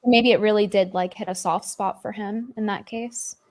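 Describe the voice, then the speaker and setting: Woman, panel guest, hesitant, measured, casual chat. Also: medium pitch, steady tone, darkish tone.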